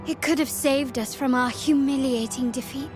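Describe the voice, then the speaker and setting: Composed, high-voiced young heiress played by a woman. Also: steady tone, normal speed, loud music behind the voice, close to an everyday voice. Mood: mocking, taunting. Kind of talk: dramatic video game voice acting.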